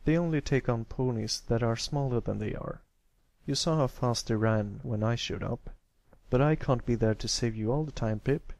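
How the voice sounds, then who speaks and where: A man's voice: mid-range voice, moderate pace, creaky, darkish tone, dry recording, lightly acted. A man plays a grown stallion guard from a storybook. He is a firm, caring big brother who speaks protectively. The recording is dry and close. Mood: gentle, encouraging.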